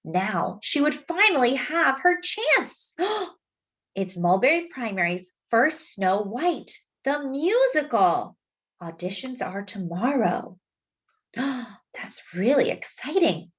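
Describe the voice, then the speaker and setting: High, lively woman reading a picture book. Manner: animated, deliberate phrasing with questions to listeners. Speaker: children's story reader. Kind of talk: expressive picture-book read-aloud with asides to young listeners.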